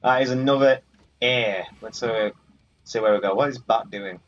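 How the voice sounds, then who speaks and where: A man hosts a casual video game playthrough with jokey, lightly sweary banter aimed at the characters on screen. His voice is mid-pitched. The audio is somewhat dull and lo-fi, with music playing behind him. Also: moderate pace. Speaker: gaming video host. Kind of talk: casual let's play commentary.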